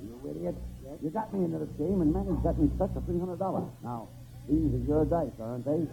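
A man performing in a 1940s radio comedy sketch, bossy and quick to object, on a tinny old broadcast recording. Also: fairly high pitch, gravelly, heavy background noise.

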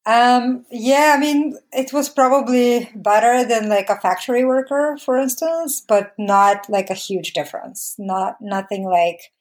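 A woman's voice: a little high, fairly even intonation, measured pace, few pauses, darkish tone. A woman, recalling her life conversationally as an interview guest, in a hesitant, reflective way. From Bulgaria. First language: Bulgarian. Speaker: interview guest, documentary filmmaker. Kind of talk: conversational personal recollection.

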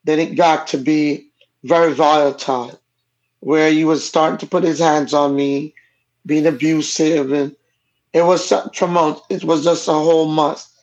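A woman's voice: sits low, fairly even intonation, unhurried, darkish tone. A Jamaican woman talks through her past in a frank, conversational way. She grew up speaking Jamaican Patois, and it shows. The audio is a bit dull and lo-fi. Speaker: guest. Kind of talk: personal storytelling in a casual interview.

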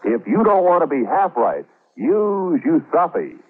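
A man's voice: a little high, sing-song, unhurried, vocal fry. A man reading scripted public service announcements in a 1940s radio style. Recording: muffled old radio recording.

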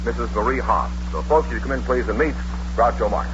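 A man's voice: medium pitch, brisk. A man with an American accent announcing a quiz show in old-time radio style. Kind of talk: 1940s-50s radio announcing. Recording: muffled, noisy vintage radio recording.

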